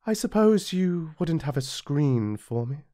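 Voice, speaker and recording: A man's voice: on the high side, sing-song, measured pace, mellow, no echo, clean studio sound, voice pushed up. A British man voicing a vain, coy, delicate female flower in an affected, demanding manner. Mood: fussy, haughty.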